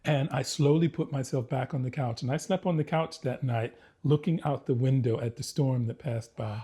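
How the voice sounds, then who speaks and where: A man's voice: medium pitch, steady tone, moderate pace, mellow, husky. An American man, a former actor, telling vivid anecdotes in a casual chat and often laughing as he talks. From United States. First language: English. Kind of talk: casual storytelling interview.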